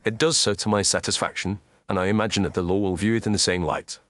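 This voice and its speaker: A man voices a gentleman detective of Victorian times in a mystery story. His voice sits fairly low and is recorded dry. He reasons aloud precisely, with commanding self-assurance. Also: steady tone, fast talker, no echo. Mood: cool, assured.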